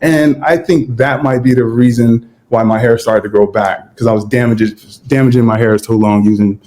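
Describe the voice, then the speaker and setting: A man in his forties, a guest vegan chef, telling his story in a relaxed, easygoing interview chat and acting out his own past thoughts. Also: mid-range voice, moderate pace. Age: 40s. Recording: roomy echo.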